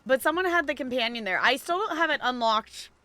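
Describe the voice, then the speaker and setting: Chatty female streamer, fairly high and bright, casual gameplay commentary, stop-start delivery. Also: lively intonation, brisk. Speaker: video game live streamer. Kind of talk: casual livestream chat over gameplay.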